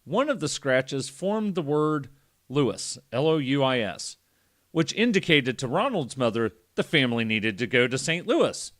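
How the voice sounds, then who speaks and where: A man's voice: medium pitch, big pitch swings, a little slow, a bit bright. Irreverent man telling a story on a podcast. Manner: irreverent, profane. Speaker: podcast host. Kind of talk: reading a story aloud with casual joking asides.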